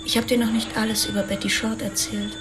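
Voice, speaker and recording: Actress doing a secretive rich girl lover in a radio drama, highish voice, confiding, music behind. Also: little pitch movement, fairly quick, natural voice. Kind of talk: intimate radio drama dialogue.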